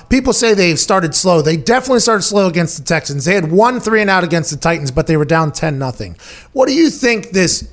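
A man hosting a sports podcast, asking questions in an energetic, chatty, joking manner. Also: on the high side. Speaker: podcast host. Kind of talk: casual podcast interview.

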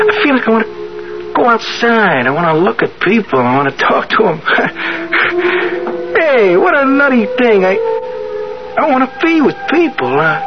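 Brash, animated young tough guy. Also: high-pitched. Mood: puzzled, tender, then tearful, bewildered. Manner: blunt, insistent, slangy working-class talk. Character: brash, pushy young man, rough-edged streetwise suitor. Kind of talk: 1970s radio drama acting. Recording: dull lo-fi old radio, music behind.

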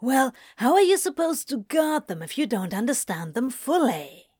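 A woman's voice: high-pitched, big pitch swings, moderate pace, darkish tone, slightly rough, no room sound, clean studio sound, pitched above the performer's own voice, heavily acted. A woman voicing a casual, teasing male demon who coaxes and tempts, in a story reading. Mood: coaxing.

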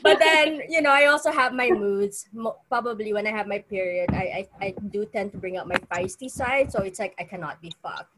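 A woman's voice: medium pitch, a little fast, hardly any pauses, noisy background. A woman from the Philippines with a Filipino accent, a guest telling stories in a casual panel chat, laughing often and acting out quotes. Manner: laughs often, animated storytelling with acted quotes. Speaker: guest, stripper.

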